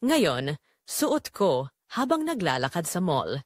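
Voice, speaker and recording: A Filipino woman narrates a dramatic first-person story. Her delivery is emotive and confessional, with lively swings in pitch, recorded very dry and close. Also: slow pace, no echo.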